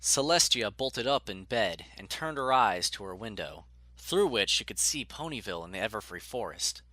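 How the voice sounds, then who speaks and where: A man's voice: fairly low pitch, expressive, moderate pace, pushed and bright. A man narrating a scripted story as a dramatic audiobook reader.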